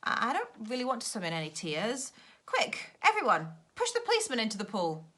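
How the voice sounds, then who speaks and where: A woman voicing an excitable young boy in a storybook adventure, with exclaiming, urgent delivery. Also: fairly high pitch, fairly quick, voice pushed up, heavily acted.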